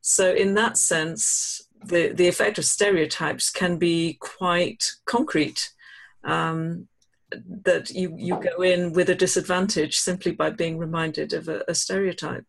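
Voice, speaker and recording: An adult leading a classroom discussion, speaking in a measured, thoughtful way with frequent pauses. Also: mid-range voice, flat delivery, vocal fry, a bit bright, low-quality recording. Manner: measured, thoughtful, many ums. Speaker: discussion leader.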